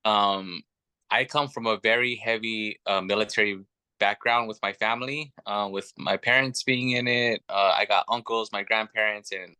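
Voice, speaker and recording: A man's voice: lowish voice, fairly even intonation, moderate pace, pushed and bright, smooth, no room sound. A man in his thirties, a guest telling his family story in an earnest, chatty way with many fillers. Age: 30s. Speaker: podcast guest. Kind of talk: casual chat.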